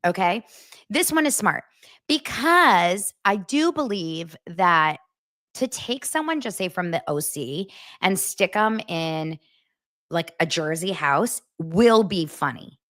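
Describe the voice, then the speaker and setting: A woman's voice: fairly low pitch, animated, slow, fairly bright tone. An American woman hosting a casual pop-culture podcast, chatty and giggly, often laughing at herself. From United States. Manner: chatty, giggly, self-mocking. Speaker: podcast host.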